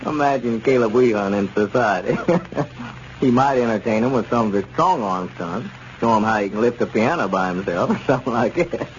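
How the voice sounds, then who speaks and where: An actor playing an easygoing, sensible small-town store owner in a 1930s radio comedy. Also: male voice, mid-range voice, big pitch swings, normal speed, lightly acted. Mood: amused. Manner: chuckling, level-headed. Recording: dull, noisy 1930s radio recording.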